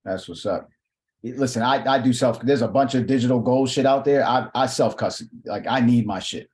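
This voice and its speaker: A man's voice: mid-range voice, fairly quick, a little mellow. Slangy, swearing man hosting a livestream. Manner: loose and slangy, swears freely. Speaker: host. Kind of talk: casual livestream chat.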